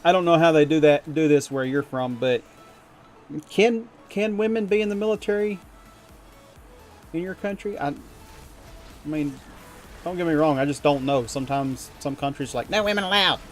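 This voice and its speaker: A man's voice: on the high side, normal speed, long pauses, faint music behind. A man from the United States chatting with his viewers while gaming, rambling and chuckling and poking fun at himself. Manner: rambling, chuckling, self-deprecating. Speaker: streamer.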